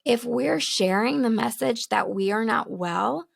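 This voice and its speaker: A hoarse woman with a heavy, gravelly creak runs through each sentence in a dry, close sound. She teaches in a candid, casual way and laughs between her points, as a podcast host recording at home. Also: fairly high pitch, a little slow, no echo. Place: home with children nearby. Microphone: studio microphone, close.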